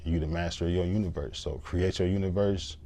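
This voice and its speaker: A man's voice: low-pitched, fairly even intonation, fast, mellow. A man hosting a show, talking through a rambling motivational monologue and repeating "you know what I'm saying.". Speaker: show host reacting to a post. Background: music.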